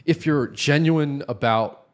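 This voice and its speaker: A man's voice: fairly high pitch, slow. A man from New Jersey talking casually as an interview guest, reflective, often checking in with tag questions. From New Jersey, United States. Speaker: podcast guest, real estate developer.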